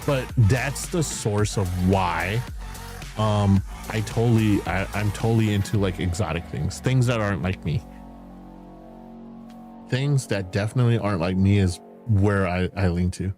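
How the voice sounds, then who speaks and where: A man streaming as a VTuber, chatting casually and candidly, swearing freely and filling pauses with "like" and "um". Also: on the low side. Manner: rambling, candid, swears casually, frequent fillers and laughs. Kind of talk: casual stream chat answering viewer questions. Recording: music playing behind.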